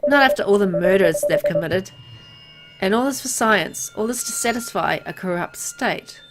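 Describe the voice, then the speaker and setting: A woman's voice: medium pitch, expressive, moderate pace, poor audio. A woman reading a visual novel aloud as she plays, narrating the story expressively. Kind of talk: expressive read-aloud of game dialogue. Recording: loud music behind voice. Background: loud game music.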